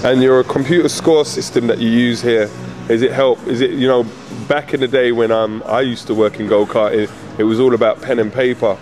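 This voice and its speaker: A man's voice: mid-range voice, steady tone, a little fast. A man interviewing a karting manager in a chatty way, often saying "yep" and "okay". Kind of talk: casual interview. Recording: music in background.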